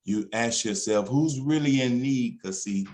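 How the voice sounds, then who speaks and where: A man reciting spoken word poetry in a deliberate, dramatic way with emphatic pauses. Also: medium pitch, a little mellow. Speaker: poet.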